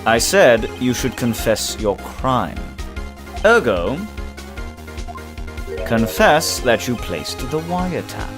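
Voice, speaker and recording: A man's voice: medium pitch, sing-song, slow, long pauses. A man voicing a cool, smug prosecutor in a video game dub, formal and condescending. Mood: smug, menacing. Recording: prominent music under the voice.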